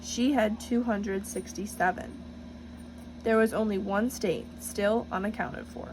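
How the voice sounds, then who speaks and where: A woman reading a picture book aloud to children in an encouraging, teacherly way, pausing to address them directly with questions. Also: mid-range voice, fairly even intonation, noisy background. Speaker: storybook reader for children, student teacher.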